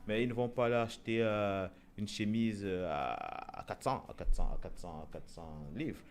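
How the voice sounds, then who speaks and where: A man's voice: lowish voice, moderate pace. A man hosting a casual talk show, speaking hesitantly with many repeated words and fillers. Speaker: show host. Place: Europe.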